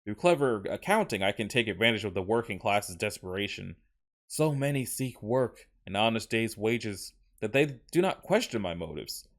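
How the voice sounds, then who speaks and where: A man's voice: on the low side, expressive, normal speed. A man voicing a tormented, obsessed war veteran and inventor in a solemn, dramatic first-person reading of a horror story. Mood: obsessive.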